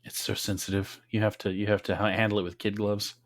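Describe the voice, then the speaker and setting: A man's voice: on the low side, flat delivery, fast. A man playing in a tabletop game, joining the chat with dry teasing remarks. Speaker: player. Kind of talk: casual banter.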